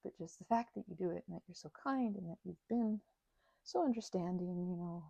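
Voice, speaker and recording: An actress playing a shy, grateful female vampire in an intimate audio roleplay, stammering and halting, often sinking into a nervous whisper. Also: on the low side, vocal fry, warm tone, no room sound, rough recording.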